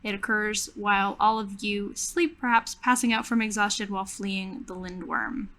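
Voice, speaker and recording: A woman reading scripted tabletop game narration in a solemn, measured storytelling manner. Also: medium pitch, steady tone. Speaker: game facilitator reading ritual text. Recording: music playing under the voice.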